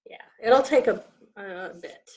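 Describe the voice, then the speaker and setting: A sleepy woman chatting on a video call, soft-spoken and laid-back. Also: mid-range voice, measured pace, rough recording. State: sleepy, not fully awake. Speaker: guest. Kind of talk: casual video call chat.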